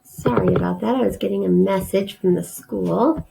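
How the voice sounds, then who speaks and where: A woman's voice: medium pitch, normal speed, gravelly, background noise. A woman reading a children's story aloud, informally, with casual asides and simple explanations.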